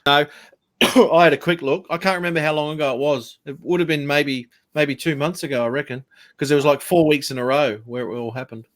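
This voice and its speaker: A man's voice: on the high side, fairly even intonation, brisk. A fifty-year-old man with an Australian accent, talking casually as a guest in a group chat. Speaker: livestream guest.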